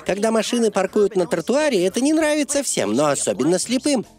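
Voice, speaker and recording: A man voicing an expressive storyteller narrating a children's cartoon in an explanatory way. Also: sits high, fairly quick, soft background music.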